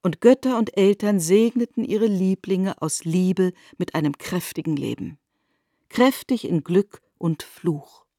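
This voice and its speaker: A woman narrating literary prose in a measured, formal manner, as in a scripted radio production. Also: fairly low pitch, warm tone, no echo, clean studio sound.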